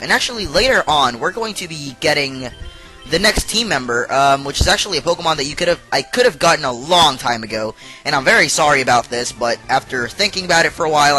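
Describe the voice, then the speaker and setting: Bright-voiced young man with a cold. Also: fairly high pitch, low-quality recording. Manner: chatty, rambling with many fillers. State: sick, voice partly lost. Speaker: let's play host. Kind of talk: casual game commentary.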